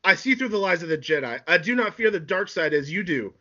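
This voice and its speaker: A man's voice: high voice, lively intonation, fast talker, bright, forceful tone, muffled recording, voice pushed up, heavily acted. A man playing a furious, betrayed young warrior turning to evil in an amateur drama, declaiming melodramatically. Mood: defiant, menacing.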